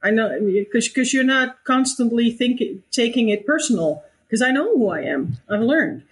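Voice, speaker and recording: A woman's voice: fairly high pitch, lively intonation, normal speed. A woman in her fifties, a guest in an interview, speaking reflectively with many restarts and easy laughter. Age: 50s. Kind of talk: conversational interview.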